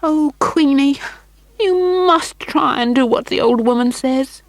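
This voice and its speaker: A woman voicing an anxious, loving mother in a storybook, high and pleading. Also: unhurried, no room sound.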